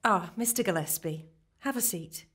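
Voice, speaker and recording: A woman's voice: fairly low pitch, moderate pace, loud music behind the voice, lightly acted. A woman playing a composed, polite psychiatrist in a comedy radio play. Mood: calm, politely probing.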